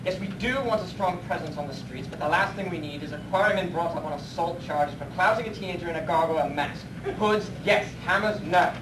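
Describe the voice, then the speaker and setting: A stern male leader of vigilantes acted by a man gives orders in a live radio play. He sounds distant from the microphone, with clear noise behind him. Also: a little high, a little fast, few pauses, lightly acted. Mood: curt, irritated. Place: convention room before an audience. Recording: echoey noisy hall, far from mic.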